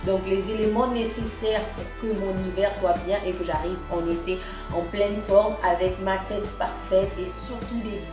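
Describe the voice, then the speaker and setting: A woman's voice: medium pitch, fairly even intonation, normal speed. A woman from Congo chatting casually as a beauty vlogger, hesitant and full of fillers and restarts. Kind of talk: casual beauty vlog talk. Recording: echoey room with music behind. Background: background music.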